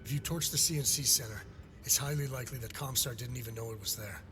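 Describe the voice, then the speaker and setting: An actor playing a composed, persuasive intelligence operative in a video game, explaining things with a dry edge. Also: male voice, medium pitch, quick pace, background noise, lightly acted. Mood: measured persuasion. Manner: explanatory, dryly sardonic. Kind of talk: dramatic video game acting.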